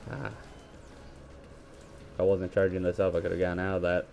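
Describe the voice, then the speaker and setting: Male gamer, low mellow scratchy voice, rambling play-by-play into a headset, faint hiss behind. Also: completely flat delivery, moderate pace, creaky. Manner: rambling, self-correcting play-by-play. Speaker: gaming video creator. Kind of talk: let's play commentary. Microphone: gaming headset.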